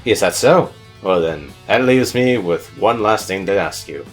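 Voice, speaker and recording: Guy doing a posh-mannered gentleman tutor from a game, super polite and formal, bit of music under it. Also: lowish voice, animated, a little slow. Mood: courteous. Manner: polite, stiffly formal wording. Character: courteous, formal gentleman tutor, elderly butler type.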